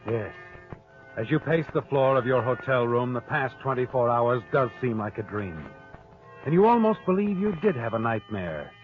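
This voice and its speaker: A mid-pitched male narrator played by an actor from an old radio noir, knowing and taunting as he addresses the character directly. His delivery is dramatic and insinuating. Music plays behind him, and the recording is thin and tinny. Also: sing-song, moderate pace, lightly acted. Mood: knowing, ominous. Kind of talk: 1940s radio noir narration in second person.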